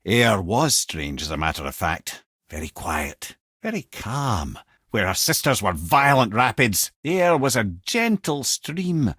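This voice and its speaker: A man's voice: on the low side, sing-song, measured pace, a bit bright, slightly rough, no room sound, studio quality, exaggerated voice. A man playing a wry, worldly-wise old storytelling sage with a Scottish accent in a video game. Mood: grave, musing. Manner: wry, lilting, theatrical storyteller. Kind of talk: video game character acting, storytelling and banter.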